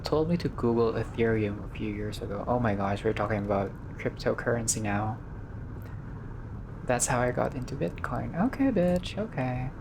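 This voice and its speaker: A man doing a casual game playthrough, reading dialogue aloud and reacting with expressive, slangy asides. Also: medium pitch, moderate pace, gravelly, noisy background. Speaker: gaming video player reading dialogue aloud.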